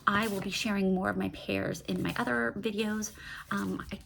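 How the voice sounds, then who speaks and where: A woman's voice: mid-range voice, steady tone, normal speed, gravelly, a bit bright, a little hiss or hum. A woman talking off the cuff in a casual book-review vlog, rambling a little, cutting into her own sentences and putting questions to herself. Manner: rambling, self-interrupting, asks herself questions. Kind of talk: casual book-talk vlog, unscripted chat.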